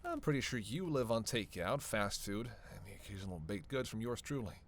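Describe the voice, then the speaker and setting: A man's voice: on the low side, very animated, brisk, a little hiss or hum. A man playing a flirty, sardonic vampire in a roleplay audio drama, with casual, teasing, profanity-laced banter. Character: flirty, sardonic male vampire on a road trip.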